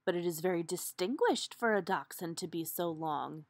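A woman's voice: on the low side, normal speed, no echo, voice pushed down, lightly acted. A woman voicing a proud, lovesick long male dachshund in a children's storybook, earnest and eager to please.

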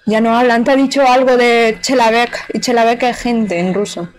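A woman's voice: a little high, steady tone, moderate pace, poor audio. Chatty woman streaming a game. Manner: chatty, playful, talks to chat and the game. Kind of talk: casual live gameplay commentary.

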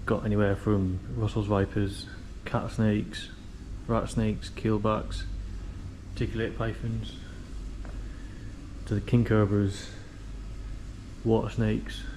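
A man's voice: lowish voice, flat delivery, slow pace, darkish tone, a little hiss or hum, rough recording. A man hosting a vlog, guiding viewers on a tour in a chatty, enthusiastic way, then narrating. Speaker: vlog host. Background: background music.

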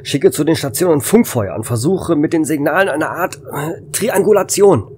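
A slightly high male voice acted by a man as a weary, desperate space station officer in a sci-fi audio drama. His phrases are clipped and urgent, over faint noise. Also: expressive, moderate pace, a little mellow, natural voice.

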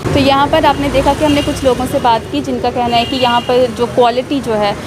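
A young woman news reporter giving a field report to camera on a busy city street, holding a handheld mic, talking briskly with a few fillers. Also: sits high, steady tone. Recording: loud street noise behind.

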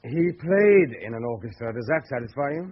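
An actor playing a polite, persistent, sceptical writer in a radio thriller, speaking a little hesitantly. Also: male voice, mid-range voice, expressive, brisk, lightly acted. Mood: probing. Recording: muffled, noisy old radio drama recording.

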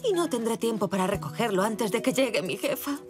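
Woman as a high-voiced, fretful zebra mother. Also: expressive, brisk, music in the background, close to an everyday voice. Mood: flustered. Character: busy, fretful zebra mother, polite but stressed. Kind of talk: children's cartoon dubbing.

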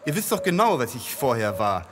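A man's voice: medium pitch, sing-song, a little fast, a bit bright, slight background noise. An actor playing a weary, touchy male boss of heaven in a radio play, grumbling as he talks. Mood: fed up. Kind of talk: German radio play acting.

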